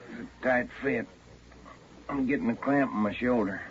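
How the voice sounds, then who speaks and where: A man's voice: mid-range voice, normal speed, natural voice. An American man playing a grumbling police detective sidekick in a 1940s radio crime drama, with short, colloquial remarks. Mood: grumbling, uneasy. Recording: noisy, dull 1940s radio recording.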